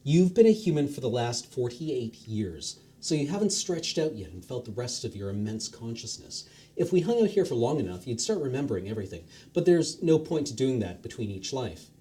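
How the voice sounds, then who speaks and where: A man reading a short story aloud on a charity stream, expressive with the odd stumble. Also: mid-range voice, a little fast.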